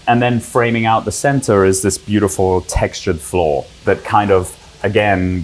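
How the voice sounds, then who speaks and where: A man's voice: sits low, darkish tone. A middle-aged man, a designer, explaining a room's design fluently in detail with frequent "kind of" fillers.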